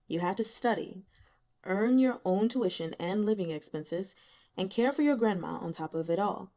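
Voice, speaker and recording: A woman voicing a calm, blunt male investigator in a novel, measured and pressing. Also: mid-range voice, lightly acted. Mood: probing.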